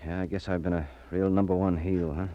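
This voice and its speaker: Man acting a low-voiced, guilt-ridden confessor. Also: little pitch movement, no room sound, dull audio, close to an everyday voice. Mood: remorseful. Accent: American. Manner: halting, pleading, agitated. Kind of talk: late-1940s radio crime drama acting.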